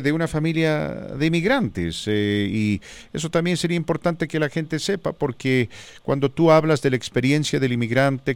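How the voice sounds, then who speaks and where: A man's voice: medium pitch, clean tone, dry recording. A man hosting a Spanish-language radio interview in a studio, asking questions with many "eh" fillers. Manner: lively questioning with frequent fillers. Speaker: radio show host. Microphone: studio microphone.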